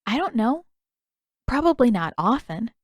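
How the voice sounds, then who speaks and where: A woman's voice: on the high side, very animated, unhurried, no echo, clean studio sound, voice pushed up. A woman voicing an earnest, determined teenage girl in an audiobook story, hesitant at times yet stubborn. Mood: unsure.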